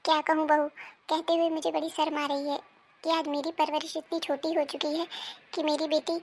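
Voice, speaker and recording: A woman acting an emphatic, dramatic role in a Hindi animated family melodrama. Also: sits high, a little hiss or hum. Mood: distressed. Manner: emphatic, dramatic, scolding and pleading delivery. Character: women of a quarrelling Indian family: daughter, mother, daughter-in-law.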